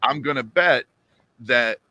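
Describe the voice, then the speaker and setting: A man in his late thirties, co-hosting a podcast and making his point in casual chat in a measured, explaining way. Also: mid-range voice, pushed and bright, no echo, poor audio. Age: 30s. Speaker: podcast co-host.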